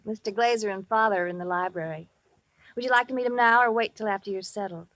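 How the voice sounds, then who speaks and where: A woman's voice: medium pitch, fast, fairly bright tone, no echo, lightly acted. Coy young woman, actress in old radio. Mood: welcoming. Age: young adult. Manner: coy, inviting. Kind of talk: 1950s radio drama acting.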